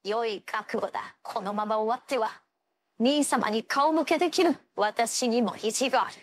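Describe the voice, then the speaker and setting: A proud young ice-wielding swordswoman from an anime fighting game, acted by a woman. Her voice sits high for a female. She phrases everything in an old-fashioned, imperious way, stern and commanding. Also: moderate pace, lightly acted. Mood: stern, resolute, then strained, determined. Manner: archaic, haughty, commanding phrasing.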